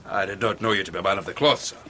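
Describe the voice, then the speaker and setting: An actor playing a hearty, seasoned Scottish soldier in a radio drama, genial and formally courteous. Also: male voice, low voice, racing, sharp tone, a little hiss or hum.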